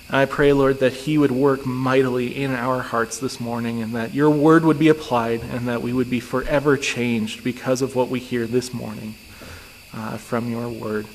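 A man leading an earnest, steady congregational prayer during a church service. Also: medium pitch. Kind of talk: pastoral prayer. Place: church sanctuary. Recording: long church reverb, faint noise.

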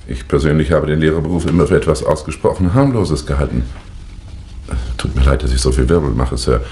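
An actor playing an apologetic, polite young teacher in a 1960s German radio play. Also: male voice, extremely low pitch, fairly quick, darkish tone. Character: apologetic young male physics teacher, stranded motorist. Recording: old vinyl record with surface noise.

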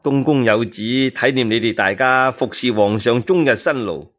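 A man's voice: medium pitch, normal speed, fairly bright tone, dull audio, lightly acted. A man with a Cantonese accent voicing a scheming male palace official, authoritative and curt, in a radio tale. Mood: smooth, commanding.

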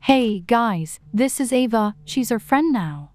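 A woman acts a bubbly teenage friend in an online storytime skit. Her voice sits fairly high. She chatters eagerly and is quick to apologize. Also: expressive, darkish tone, faint music behind. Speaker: voice actor in an animated storytime drama. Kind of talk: scripted amateur roleplay dialogue.

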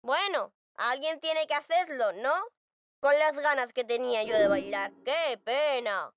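A woman's voice: high-pitched, unhurried, a little hiss or hum. A woman voicing an eager, playful, confident young child in a children's cartoon, with a Castilian Spanish accent. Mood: wry.

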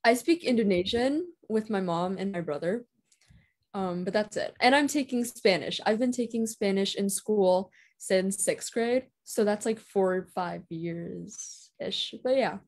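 A teenage girl answering interview questions over a video call, soft-spoken and hesitant, with frequent ums. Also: medium pitch, a little slow. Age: teens. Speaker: guest. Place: indoors at home.

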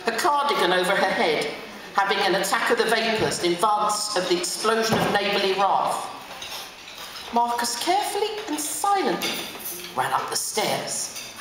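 A middle-aged woman gives an expressive reading from a book at a lectern. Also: mid-range voice. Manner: animated, acts out dialogue. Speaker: reader at a memorial event. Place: hotel function room, on stage. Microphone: stand mic at lectern through PA, recorded from audience. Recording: distant, echoey hall with clear noise.

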